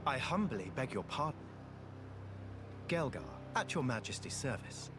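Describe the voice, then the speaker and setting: A man's voice: medium pitch, big pitch swings, a little slow, background noise. A man voicing an obsequious, scheming former royal guardsman in a video game, with florid, wheedling, courtly speech. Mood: fawning.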